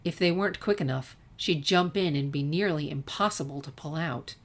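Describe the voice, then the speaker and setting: A woman's voice: low-pitched, normal speed. A woman narrating a written story as a fan fiction reader.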